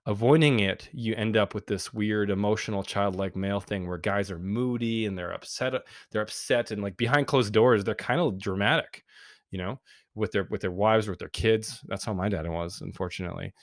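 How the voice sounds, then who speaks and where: A man with a deep voice, a podcast guest talking earnestly and reflectively with frequent fillers. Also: a little fast, no echo, studio quality. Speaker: podcast guest, men's group founder. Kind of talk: casual interview talk.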